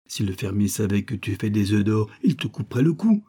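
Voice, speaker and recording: A man's voice: fairly low pitch, very animated, fairly quick, warm tone, breathy edge. A man voicing a frantic mother hen who scolds her daughter in alarm, in a storybook reading. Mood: frantic scolding.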